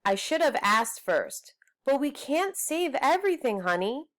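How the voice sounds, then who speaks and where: A woman's voice: high voice, sing-song, a little slow, a bit bright, voice pushed up, full character voice. A practical, patient mother from a storybook, voiced by a woman reader. She sounds reasonable and placating as she addresses her children.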